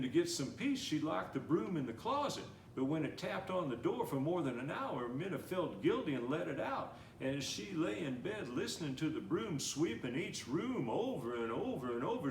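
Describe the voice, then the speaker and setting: Male storyteller, lively read-aloud delivery, recorded from a little way off in an echoey room with faint hiss. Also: a little high, gravelly. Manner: gentle, animated storybook reading with slowing and quickening pace. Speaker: storyteller reading a picture book. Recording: roomy, somewhat distant mic with faint noise.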